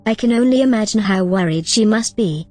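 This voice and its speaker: Female AI role: calm, precise, reassuring, mid pitch. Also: a little fast, soft background music. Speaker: AI companion character. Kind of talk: audio drama acting.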